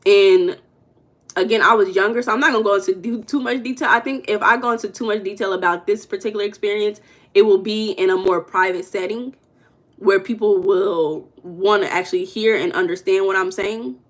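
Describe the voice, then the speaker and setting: A woman's voice: mid-range voice, fairly even intonation, fairly quick. A young American woman giving loose, rambling mindset coaching in a casual livestream chat, stumbling and correcting herself as she goes. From United States. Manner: loose, rambling and conversational, with self-corrections and humming between comments. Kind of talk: casual livestream chat, reading viewer comments.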